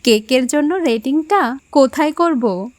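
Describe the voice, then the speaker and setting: High-voiced, friendly young woman. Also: lively intonation, normal speed, no echo. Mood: playful, curious. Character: kind, friendly young working woman, a customer. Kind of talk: audio horror story acting.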